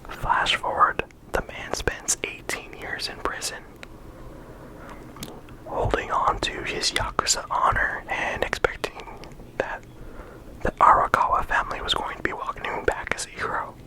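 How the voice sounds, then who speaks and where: An ASMR creator whispering softly throughout, talking casually with frequent ums and likes into a close microphone. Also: measured pace, low-quality recording. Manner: soft unvoiced whisper throughout. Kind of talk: whispered ASMR game discussion.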